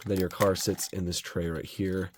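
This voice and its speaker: A young man with a slightly raspy voice from a cold, casually reviewing diecast model cars in a relaxed, rambling way. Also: low voice, normal speed, slight background noise. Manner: relaxed, chatty, rambling with fillers.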